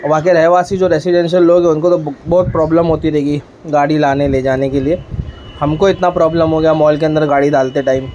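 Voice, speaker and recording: A man's voice: a little high, flat delivery, fairly quick, lo-fi sound. A young man narrating a casual vlog, chatting about traffic and the crowds while he shops. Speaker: vlogger. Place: car in traffic, then crowded shopping mall. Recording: loud crowd and street noise.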